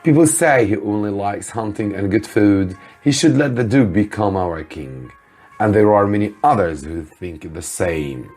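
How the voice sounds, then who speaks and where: A man voicing an opinionated old woman innkeeper in a storybook, with slow, emphatic delivery. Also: lowish voice, animated, darkish tone, breathy edge, faint music behind, voice pushed up. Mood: wishful, disapproving.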